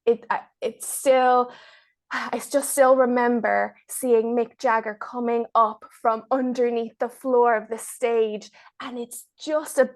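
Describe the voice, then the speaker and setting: A woman's voice: a little high, steady tone, slow pace. A woman, chatting casually as a podcast guest. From Ireland, born in Mexico. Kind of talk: casual interview chat.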